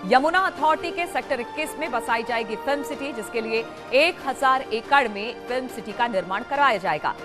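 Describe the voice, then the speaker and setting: A woman anchoring a television news bulletin in a high-pitched voice. She reads formally and emphatically, repeating the headline points. A clear music bed plays under her voice. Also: expressive, normal speed, few pauses. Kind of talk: broadcast news reading.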